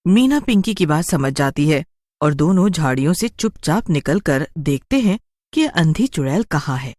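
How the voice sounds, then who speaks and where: A woman's voice: low-pitched, sing-song, moderate pace, darkish tone, no room sound, clean studio sound. A woman storyteller giving scripted, dramatic narration of a story.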